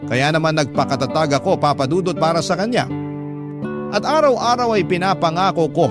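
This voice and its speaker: A Filipino man narrates a radio drama from a listener's letter. His voice is a little high for a man, and his storytelling is emotive and confiding. Guitar music plays clearly underneath. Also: brisk.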